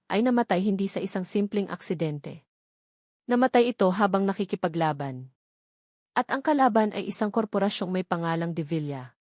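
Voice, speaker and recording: A woman's voice: mid-range voice, darkish tone, no echo, studio quality. A woman with a Filipino accent reading a scripted, dramatic love story as its narrator. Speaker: narrator of audio love stories.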